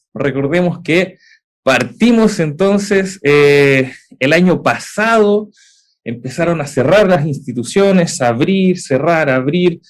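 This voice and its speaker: A young man with a Chilean accent presenting in a webinar, explaining at length with frequent "eh" fillers and rhetorical questions. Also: high voice. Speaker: edtech founder presenting.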